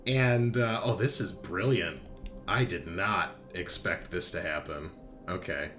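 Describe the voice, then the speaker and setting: A man running a tabletop roleplaying game as game master, narrating casually, with loose swearing and excitable reactions. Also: deep voice, measured pace. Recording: background music under the voice. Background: music.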